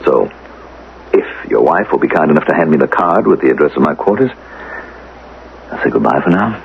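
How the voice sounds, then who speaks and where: A thin, hissy old radio broadcast frames a self-possessed male teacher from the future. His low voice is gravelly and leaps widely in pitch. He is precise and probing. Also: very animated, brisk, phone-quality sound, heavily acted. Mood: polite, brisk. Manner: precise, questioning, coolly assured. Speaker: actor in a radio drama. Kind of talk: 1980 radio drama acting.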